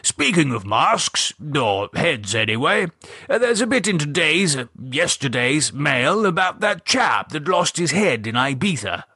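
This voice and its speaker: Man doing a genteel older gent, a bit higher than his own voice, lively, dry close sound. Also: unhurried, slightly rough, dry recording, exaggerated voice. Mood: gossiping. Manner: genteel, mildly pompous. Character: fussy older English gentleman, chatty, newspaper reader. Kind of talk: audiobook character voice.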